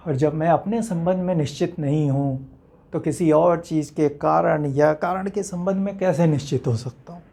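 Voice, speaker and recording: Male actor as enigmatic uncertain man, theatrical monologue, reflective, rhetorical, deliberate pauses, philosophical address to an audience. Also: a little high, creaky, mellow. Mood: brooding, questioning.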